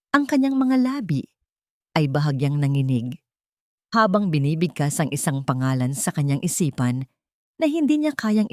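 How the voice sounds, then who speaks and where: A woman with a Filipino accent narrating a dramatic story in a measured, theatrical way. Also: low-pitched, darkish tone, dry recording, clean studio sound.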